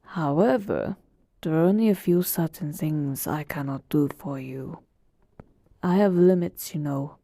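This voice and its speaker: A woman's voice: low-pitched, unhurried, dark tone. A woman voicing an ancient genie of the lamp in a roleplay audio, weary yet playful, moving between grand servitude and casual sass. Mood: patient, musing. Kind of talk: roleplay audio acting addressed to the listener.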